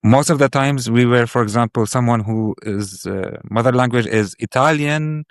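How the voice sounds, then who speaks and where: A man whose first language is Arabic, hosting a podcast and asking reflective questions in a thoughtful, searching way, with pauses and repeated words. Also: medium pitch, no echo, studio quality. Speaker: podcast host.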